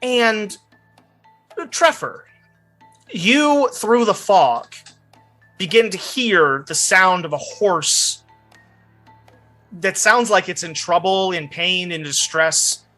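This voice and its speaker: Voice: mid-range voice, animated, slow, pauses often, bright, forceful tone. A game master improvising tabletop narration, describing scenes conversationally and speaking straight to the players. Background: background music.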